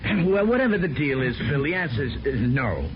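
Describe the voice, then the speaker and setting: An actor playing an evasive, excitable American con man of forty, hedging and defensive, in 1950s radio drama style. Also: medium pitch, moderate pace, lightly acted. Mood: reluctant. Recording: muffled, noisy 1950s radio recording.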